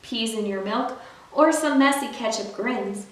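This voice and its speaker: High-voiced woman reading in a very echoey room. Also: a little slow. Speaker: library storyteller. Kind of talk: picture book read-aloud for young children. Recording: very echoey room, distant mic, faint noise.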